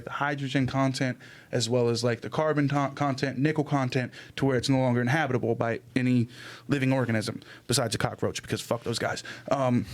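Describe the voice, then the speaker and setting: A young man chatting casually on a podcast mic, theorizing in an emphatic, slangy, profane way. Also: medium pitch. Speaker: podcast co-host. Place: small indoor room. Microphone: podcast microphone on arm, headphones.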